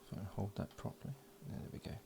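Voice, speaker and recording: A man giving relaxed, matter-of-fact running commentary as he solders at a home workbench, recorded by an overhead camera. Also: racing, noisy background. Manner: mutters along while working, relaxed and matter-of-fact. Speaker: electronics hobbyist. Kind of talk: casual build commentary.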